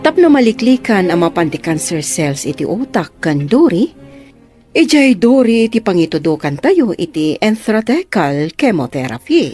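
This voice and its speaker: A woman playing a teasing, reassuring doctor in an Ilocano radio drama, with a soft husky voice. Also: medium pitch, very animated, normal speed, faint music behind, lightly acted.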